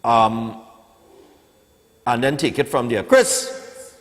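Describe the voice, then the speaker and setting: A man's voice: mid-range voice, very slow. A man in his forties with a Trinidadian accent, hosting a live morning show in a conversational, opinionated way. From Trinidad and Tobago. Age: 40s. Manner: conversational, opinionated, peppered with "eh" and "you know". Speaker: morning television show host. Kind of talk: casual live talk and newspaper review. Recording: long hall-like reverb on the voice.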